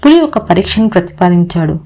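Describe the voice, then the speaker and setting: A woman's voice: lowish voice, very animated, a little fast, warm tone, rough recording. A woman narrator reading a scripted moral story for children. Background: music.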